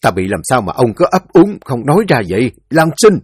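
A man's voice: mid-range voice, big pitch swings, a little fast, darkish tone, slightly rough, no echo, clean studio sound. A man voicing a stern older official who barks orders impatiently in a storybook reading. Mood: commanding. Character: stern older male mandarin official, commanding.

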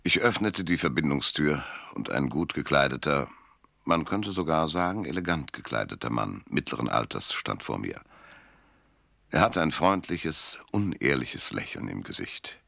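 An actor playing a wry, laconic private detective who narrates and talks in a German noir radio drama. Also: male voice, very deep voice, big pitch swings, normal speed, vocal fry, a bit bright, full character voice. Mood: wry narrating. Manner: laconic, sardonic. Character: hard-boiled, wry male private detective and first-person narrator.

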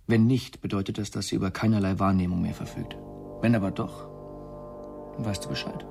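An actor playing a cool, frank male friend in a radio drama, with a gravelly, husky voice and a measured manner. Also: low-pitched, fairly even intonation, music in the background. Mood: probing, frank. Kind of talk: German radio drama acting.

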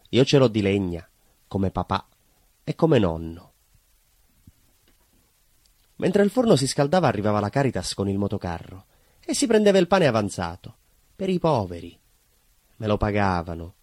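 An actor playing a simple, proud working-class baker in a stage monologue, earnest and conversational, with a southern Italian accent. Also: male voice, lowish voice, a little mellow, no room sound. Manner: naive, earnest, emphatic, conversational. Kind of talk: theatrical monologue, colloquial storytelling.

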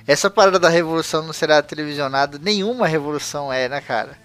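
A man's voice: a little high, fast, fairly bright tone. A man from Brazil co-hosting a podcast, chatting loosely, swearing now and then and reading listener emails aloud. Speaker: podcast co-host. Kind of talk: casual chat.

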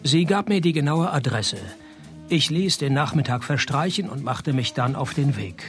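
An actor playing a laconic, cynical private detective who narrates and talks in a dry, deadpan way in a radio play. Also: male voice, on the high side, moderate pace, soft background music, lightly acted. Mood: deadpan narrating.

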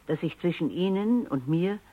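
A woman's voice: fairly low pitch, animated, normal speed, narrow, tinny audio. A self-assured woman scientist in a radio play. She speaks with analytical precision, phrasing each thought like an expert. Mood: composed, explanatory. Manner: precise, lecturing, composed. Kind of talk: German radio drama acting.

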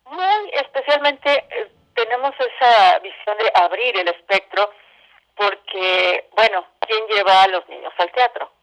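A woman's voice: medium pitch, lively intonation, a little slow, a bit bright. Mexican woman on a muffled phone line. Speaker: guest, arts educator and theatre company director. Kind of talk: conversational radio interview. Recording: muffled, band-limited phone call.

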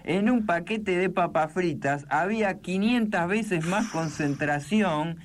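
A man's voice: sits high, slow pace. A man with a Buenos Aires accent hosting a radio show, reading news casually with mocking asides and frequent tag questions. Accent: Argentine, Buenos Aires.

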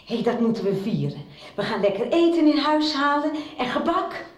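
Lively, high-voiced mother, roomy sound. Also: moderate pace. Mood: delighted. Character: cheerful, bustling mother, playful and exuberant. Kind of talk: Dutch radio soap acting. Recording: echoey room.

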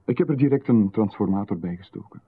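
A man with a Flemish accent playing a smooth-talking, insistent visitor in a radio play, with a husky voice and a polite manner, explaining at length in technical jargon. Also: on the low side, animated, fast. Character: smooth-talking male visitor, middle-aged, insistent and eerie. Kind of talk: 1990s radio drama. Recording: phone-like radio recording.

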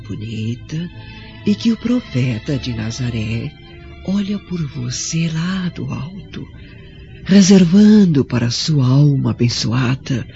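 An actor playing a devoted, gentle old maidservant in a radio drama, with a creaky voice and tender, reverent delivery. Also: male voice, mid-range voice, heavily acted. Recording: dull lo-fi recording, music behind.

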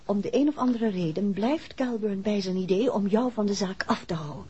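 An actress playing a detective's inquisitive, affectionate wife in a 1960s radio drama, well-spoken and theatrical. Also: female voice, mid-range voice, brisk, darkish tone, slightly rough, lightly acted. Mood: confiding. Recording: dull old radio recording, crowd noise.

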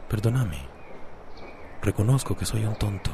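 An actor with a Costa Rican accent playing a flirtatious, coaxing suitor in a radio drama. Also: male voice, on the low side, measured pace, mellow, a little hiss or hum. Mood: apologetic, earnest.

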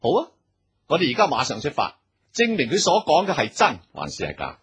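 Worldly boss role male actor: extremely animated intonation, brash, exclamatory, teasing, radio drama style. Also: a little high, brisk, husky, no echo, full character voice. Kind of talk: Cantonese radio drama acting.

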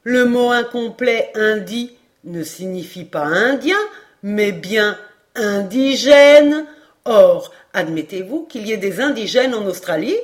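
A woman voicing a confident, excitable French male geographer in an emphatic, declamatory storybook manner. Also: mid-range voice, unhurried, hardly any pauses, natural voice. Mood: triumphant. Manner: emphatic, declamatory, self-assured. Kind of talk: expressive audiobook character reading.

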